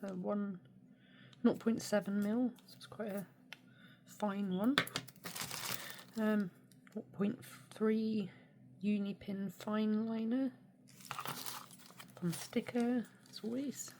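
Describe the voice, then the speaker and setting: A woman with a hoarse, snuffly cold, chatting casually and quietly as she unboxes art supplies, with many hesitations. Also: mid-range voice, slow pace, long pauses. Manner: soft-spoken, often drops to a near whisper; mildly critical, hesitant with many ums. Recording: steady background noise.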